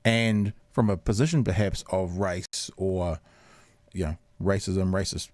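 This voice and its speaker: A man's voice: deep voice, fairly even intonation, a little slow, a little mellow, dry recording. A man hosting a radio interview, chatty and self-deprecating, rambling with lots of restarts. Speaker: radio host. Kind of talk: casual interview chat. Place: radio studio.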